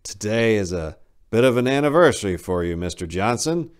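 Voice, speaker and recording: A smug psychiatrist with coldly clinical manners, put on by a male narrator. He speaks in a raised, swinging pitch, formal and condescending, stretching words in storybook drama fashion. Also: lively intonation, moderate pace, clear, resonant tone, close to an everyday voice.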